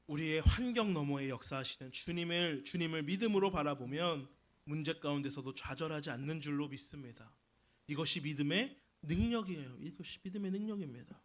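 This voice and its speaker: A man's voice: a little high, brisk. A man preaching a Sunday sermon as a pastor, telling stories and exhorting with emphatic delivery. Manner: emphatic, rising into forceful declarations then easing into explanation.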